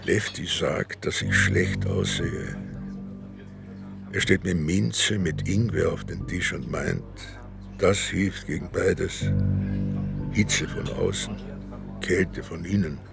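A man's voice: sits low, takes it slowly, creaky, pauses often, music in the background, heavily acted. A man playing an irritable, world-weary police inspector in a radio drama, with a grumbling, brusque manner. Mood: weary, brooding. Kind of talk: radio drama, narration and dialogue.

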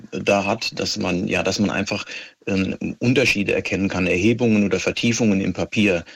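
A man with a low, noticeably husky voice. He explains things like an expert guest in an interview. His phrasing is careful, measured and matter-of-fact.

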